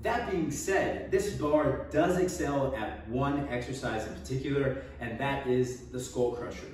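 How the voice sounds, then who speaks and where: A man's voice: medium pitch, lively intonation, a little slow, a little mellow, a little hiss or hum. Twenties male fitness vlogger, offhand and jokey, recorded at a distance in a reverberant garage. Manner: joking, offhand, trails off with small laughs. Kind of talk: casual equipment review. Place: home garage gym. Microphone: camera across the room. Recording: echoey garage, voice off-mic.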